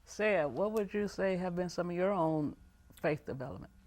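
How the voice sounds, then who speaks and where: A woman's voice: lowish voice, moderate pace, darkish tone, a little hiss or hum. Woman, panel host, interview questions, addressing guests.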